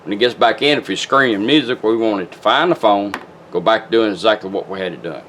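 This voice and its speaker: A man's voice: fairly low pitch, quick pace, fairly bright tone, a little hiss or hum. A man talking casually and plainly as he demonstrates a product step by step, in a folksy, instructional way. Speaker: car radio restorer demonstrating a product.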